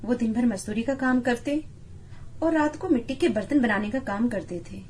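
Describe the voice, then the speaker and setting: A woman's voice: a little high, normal speed, a little mellow, a little hiss or hum. A woman giving scripted narration of a moral story as its storyteller.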